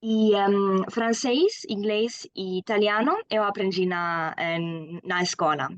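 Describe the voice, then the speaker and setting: A woman's voice: mid-range voice, slow, no room sound. German woman in her twenties, guest, hesitant and full of fillers, dry close sound. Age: 20s. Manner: hesitant with frequent fillers, laughs often. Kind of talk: casual interview.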